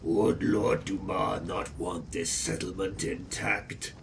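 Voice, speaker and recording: A man playing a dutiful vampire lieutenant in a dark fantasy audio drama, formal and deferential. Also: low voice, fairly even intonation, slow, creaky, a little hiss or hum, full character voice. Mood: grave, obedient. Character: very deep-voiced, dutiful male vampire lieutenant. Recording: loud music over distant-sounding voice.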